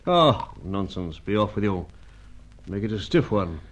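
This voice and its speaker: Grave older gentleman. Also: fairly low pitch, very animated, a little slow, a little hiss or hum. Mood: avuncular, coaxing. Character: grave, avuncular older British knighted spy chief. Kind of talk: old-time radio drama acting. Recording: dull, lo-fi radio serial sound.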